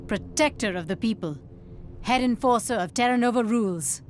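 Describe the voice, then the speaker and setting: An actress playing a tough, wry agency handler giving brisk, confident briefings in a video game. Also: female voice, on the high side, heavy background noise, close to an everyday voice. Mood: determined, wry. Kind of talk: video game mission narration. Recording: loud game music behind.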